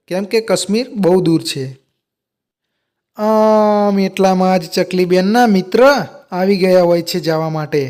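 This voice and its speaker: A man giving scripted narration for a children's animated moral story. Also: high-pitched.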